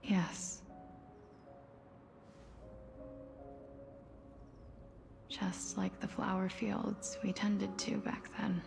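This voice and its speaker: A woman playing a sorrowful, soft-spoken demigod of death in a video game, solemn and breathy. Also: medium pitch, flat delivery, takes it slowly, in a whisper. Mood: wistful, calm. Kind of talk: English video game voice acting, slow storytelling. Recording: game dialogue under loud music.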